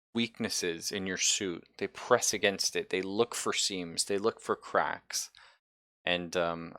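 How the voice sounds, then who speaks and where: A man narrating a tabletop sci-fi horror game as game master, halting as he thinks aloud. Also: deep voice, vocal fry, sharp tone, dry recording.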